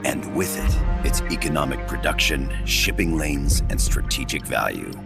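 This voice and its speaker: A measured, quietly persuasive older man who is secretly a spy. His voice sits extremely low and rumbles with heavy gravel. Loud cutscene music plays under every word. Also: expressive, heavily acted. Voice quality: very deep and gravelly. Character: calm older male professor, secret spy.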